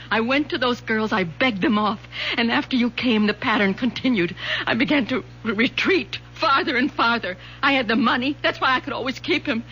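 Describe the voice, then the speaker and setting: Bitter, wounded wife on muffled radio. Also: female voice, on the high side, sharp tone. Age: middle-aged. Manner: theatrical, dramatic pauses. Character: bitter, wounded middle-aged wife and mother, long-suffering. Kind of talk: 1970s radio drama acting. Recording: dull radio recording with faint hum.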